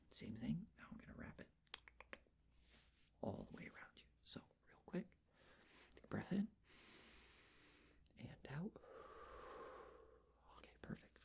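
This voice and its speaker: A man doing an ASMR personal-attention roleplay, whispering breathily as he gently gives reassuring, step-by-step instructions. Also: fairly high pitch, gravelly, husky.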